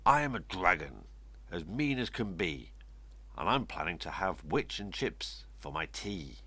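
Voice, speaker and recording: A man's voice: sits low, sing-song, measured pace, husky, no echo, heavily acted. A man voicing a mean, hungry storybook dragon in a menacing manner. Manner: loud, menacing.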